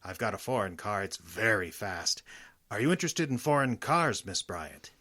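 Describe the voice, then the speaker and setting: Man acting a bright-voiced, low-pitched wealthy older father. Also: very animated, moderate pace, heavily acted. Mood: uneasy. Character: wealthy 68-year-old father, suspicious of his parasitic sons. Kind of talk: radio drama acting.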